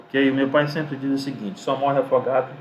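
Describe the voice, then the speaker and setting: A man's voice: medium pitch, fairly even intonation, brisk, lo-fi sound, a little hiss or hum. A man giving an informal video lesson, talkative and didactic, asking himself rhetorical questions. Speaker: lecturer giving academic writing advice.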